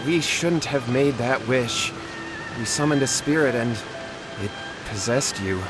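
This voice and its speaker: A man's voice: mid-range voice, a little slow, over music, natural voice, poor audio. An actor playing a timid, anxious young man in a video game, nervous and apologetic. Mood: remorseful.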